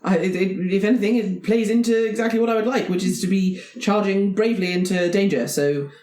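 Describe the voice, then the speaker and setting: A woman's voice: medium pitch, brisk, mellow. A woman playing a tabletop game in character, with a gallant, declarative manner. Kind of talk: in-character improvised roleplay. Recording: roomy echo.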